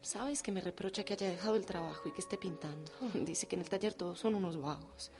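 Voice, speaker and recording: A woman's voice: on the low side, brisk, lightly acted. An actress playing a troubled, secretive married woman of about thirty in a radio drama. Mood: confiding.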